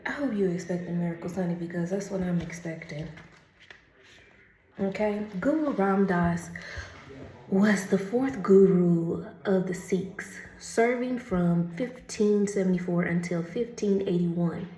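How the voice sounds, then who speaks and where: A woman doing a casual oracle card reading, chatty and laughing often, who calls the listener honey and reads the card text slowly and carefully. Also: on the low side, warm tone, roomy sound, low-quality recording.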